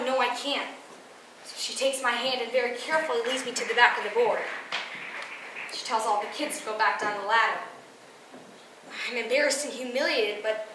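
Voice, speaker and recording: A woman's voice: sits high, bright, forceful tone. A young teenage girl performing a stage monologue as a frustrated, vulnerable teen confiding emphatically in a friend. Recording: roomy, distant, noisy live recording.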